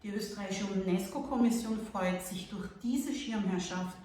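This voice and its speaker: A woman's voice: medium pitch, normal speed, dark tone, slight background noise. Woman, formal read-out statement, echoey room, distant mic. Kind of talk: scripted narration.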